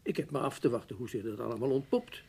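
An actor playing an anxious, gloomy middle-aged husband in a radio play, speaking in a fretful, wordy, hesitant way. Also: male voice, medium pitch, fast, creaky, dark tone, husky. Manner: fretful, stammering, wordy and formal. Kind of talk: Dutch radio drama acting.

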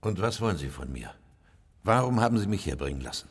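An actor playing a sober gentleman doctor in a radio detective drama, with a grave, measured way of speaking. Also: low voice, big pitch swings, slight background noise. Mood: wary. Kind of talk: radio drama narration and dialogue.

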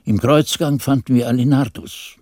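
An actor playing a monk who recounts his memories in measured, expressive radio drama narration. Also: medium pitch, dry recording, clean studio sound, lightly acted. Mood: measured, intrigued.

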